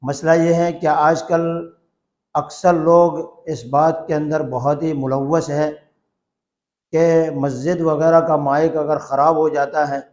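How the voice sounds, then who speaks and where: A man speaking as an Islamic cleric, explaining a religious ruling in a formal, measured, didactic way and repeating points for emphasis. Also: fairly high pitch, darkish tone.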